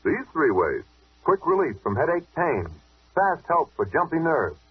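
A man's voice: on the high side, sing-song, unhurried, husky. A man announcing in 1940s radio commercial style, with a punchy, emphatic sales delivery. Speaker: radio announcer reading sponsor ads. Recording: muffled old-time radio recording.

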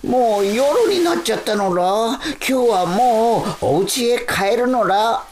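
A woman's voice: a little high, lively intonation, slow pace, heavy background noise. A woman voicing a small, upbeat, childlike talking beetle in a radio drama, ending sentences with a cute verbal tic. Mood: cheerful, helpful. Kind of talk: cartoonish radio drama acting. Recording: faint music behind the voice.

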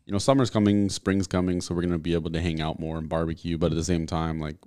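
A man's voice: low-pitched, little pitch movement, fast, warm tone, dry recording. A young man co-hosting a casual podcast with a friend, easygoing and self-assured. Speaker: podcast co-host. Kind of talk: casual chat.

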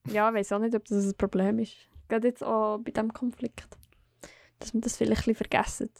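A woman's voice: fairly high pitch, level tone, a little fast, vocal fry, a little mellow, no echo. A woman co-hosting a podcast, talking casually and thinking aloud as she goes. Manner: conversational, agreeing, thinking aloud. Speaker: podcast co-host. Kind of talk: casual discussion.

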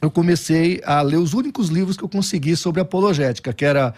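A middle-aged man speaks as a guest pastor. His voice sits somewhat high and he tells his anecdotes with energy, often restarting his sentences. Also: fast talker, soft background music. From Brazil. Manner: animated, self-deprecating anecdotes with frequent restarts. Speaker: Baptist pastor. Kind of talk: storytelling interview answers.

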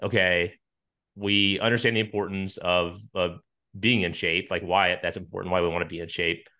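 A man's voice: low-pitched, level tone, normal speed, bright, forceful tone. A man in his thirties hosting a podcast, chatting casually and informally as he interviews a guest. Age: 30s. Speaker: podcast host.

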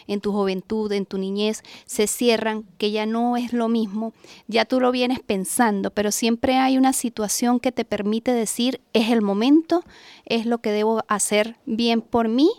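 Venezuelan woman guest, bright-ish smooth voice, flowing talk. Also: on the high side, dry recording. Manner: expressive, flowing. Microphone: studio microphone.

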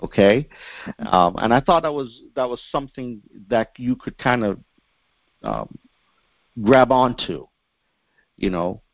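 A man's voice: mid-range voice, very animated, takes it slowly, gravelly, pauses often, no room sound. Guy pastor teaching bible study, emphatic, keeps checking in, kinda muffled lofi audio. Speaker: pastor introducing a Bible lesson. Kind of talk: church Bible teaching with read-out passages. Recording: dull lo-fi close dry audio.

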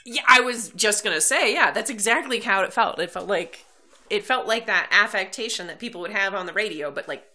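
Bright-voiced woman co-hosting a podcast. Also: mid-range voice, brisk. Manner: animated, laughing, recaps plot with restarts. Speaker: podcast co-host. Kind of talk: casual book discussion chat.

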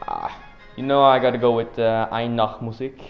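A man's voice: mid-range voice, flat delivery, normal speed, muffled recording, faint music behind. A teenage boy as a podcast guest, giving laid-back, rambling joke answers full of fillers. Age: teens. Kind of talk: joking student podcast interview.

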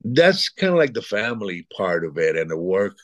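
Older guy from puerto rico, lowish voice, kinda rambly, dry close mic. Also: very animated, normal speed, fairly bright tone, no room sound, dull audio. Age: 60s. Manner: rambling, repeats words, chuckles between thoughts. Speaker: guest artist. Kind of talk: casual interview chat.

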